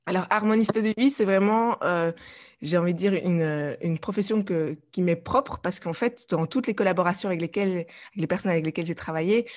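Woman guest, a bit low, hesitant and filler-heavy. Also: brisk, darkish tone. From Belgium. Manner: hesitant, many fillers and restarts. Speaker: guest, life coach and artist. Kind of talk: conversational interview.

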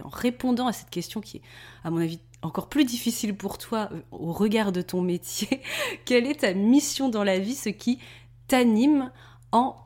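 Enthusiastic woman podcast host. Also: mid-range voice. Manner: lively, enthusiastic. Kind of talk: podcast interview hosting.

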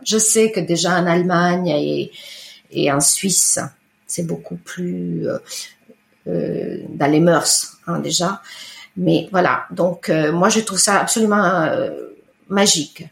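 A middle-aged woman whose first language is Italian, talking about her work as a guest in an interview, pausing often with fillers and restarts. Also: fairly low pitch, gravelly. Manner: hesitant, many fillers and restarts. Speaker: iridologist guest. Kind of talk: conversational interview. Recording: roomy echo.

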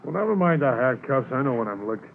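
Thin, faintly noisy fifties radio sound carries a gruff drug smuggler. He is cornered and defiant, with a mobile pitch in old radio acting style. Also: big pitch swings, fast talker, phone-quality sound. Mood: resigned, defiant. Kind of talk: 1950s radio drama acting. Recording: noisy band-limited 1950s radio recording.

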